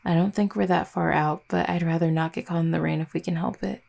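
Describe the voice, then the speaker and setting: A woman's voice: on the low side, fairly even intonation, fast talker, gravelly, darkish tone. A woman playing an affectionate, teasing vampire girlfriend in an intimate roleplay audio, speaking in a tender, conversational way. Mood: playful coaxing.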